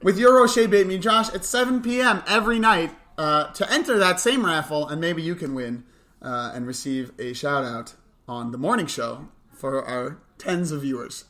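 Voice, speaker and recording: A man's voice: fairly high pitch, lively intonation, normal speed, sharp tone. A man co-hosting a morning show, giving casual, unscripted announcements with wry, joking asides. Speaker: camp morning show co-host.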